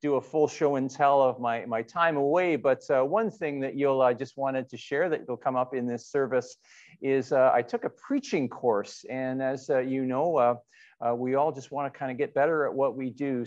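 A middle-aged man, a pastor speaking conversationally and a little haltingly as he leads online church worship. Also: medium pitch, lo-fi sound. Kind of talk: informal church announcements and worship leading.

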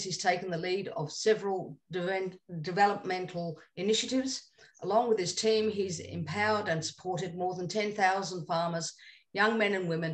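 A woman's voice: on the low side, rough recording. A woman moderating a panel, introducing the next speaker with frequent hesitations. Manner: hesitant, many fillers.

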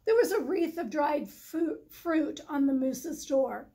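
Female storybook reader, middle-aged, high voice, slow deliberate pacing, library setting. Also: expressive, dark tone. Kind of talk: children's story read aloud, scripted narration. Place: indoor library, in front of bookshelves.

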